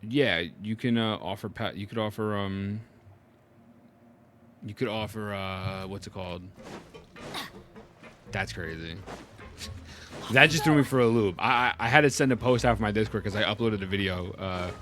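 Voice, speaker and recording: A man's voice: on the low side, normal speed, pauses often, a bit bright, a little hiss or hum. A man doing casual, slangy game reaction commentary as a streamer, often repeating phrases in disbelief. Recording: game music behind.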